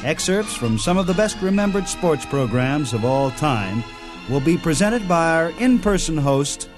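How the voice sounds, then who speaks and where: A male radio presenter reads a scripted introduction in a fairly high voice. His delivery moves up and down in pitch like a program announcer's. Music plays clearly behind him. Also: very animated, a little slow. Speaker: radio show host and presenter. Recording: music under the voice.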